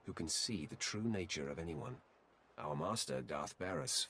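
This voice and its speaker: Sith warrior, very deep, gravelly, solemn, formal, measured, video game cutscene, some background noise. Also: male voice, a bit bright, full character voice. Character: deep-voiced Sith warrior confronting his solemn mirror-image light self. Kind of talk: video game dialogue acting.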